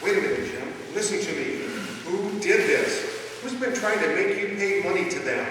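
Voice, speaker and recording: A man playing a calm, serious gentleman investigator in a radio mystery, speaking with deliberate gravity. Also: sits high, lightly acted. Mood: concerned. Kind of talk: stage radio mystery drama. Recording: distant, echoey hall, background noise.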